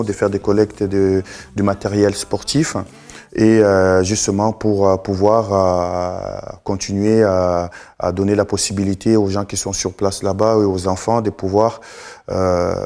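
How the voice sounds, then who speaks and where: A middle-aged man from Congo, a guest in a TV studio, answering slowly with many fillers and restarts. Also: fairly low pitch, darkish tone. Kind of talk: live TV interview.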